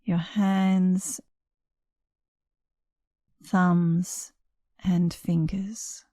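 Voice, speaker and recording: Woman doing guided meditation, soothing n slow, slightly low voice, long gaps, close dry sound. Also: level tone, mellow, dry recording. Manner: soothing, unhurried, long pauses between phrases. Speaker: meditation instructor. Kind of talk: guided meditation, scripted narration.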